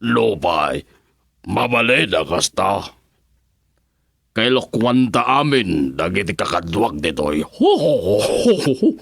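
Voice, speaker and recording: A Filipino man playing a sly, jolly talking gorilla in a radio comedy drama, with drawn-out, hooting delivery. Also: on the low side, big pitch swings, vocal fry, a bit bright, dry recording, heavily acted. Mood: jolly, rallying.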